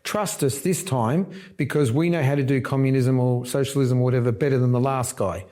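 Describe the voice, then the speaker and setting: A man's voice: medium pitch, steady tone, a little fast, warm tone. A middle-aged man from Argentina, a politician talking casually and emphatically, often breaking off and restarting words. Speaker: politician guest.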